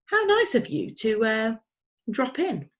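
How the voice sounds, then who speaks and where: A woman's voice: on the high side, huge pitch swings, slow, lo-fi sound, pitched above the performer's own voice, exaggerated voice. Lady putting on a fussy posh old granny, proper and a bit bossy, sing-song. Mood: prim. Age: older. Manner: prim, genteel, chiding.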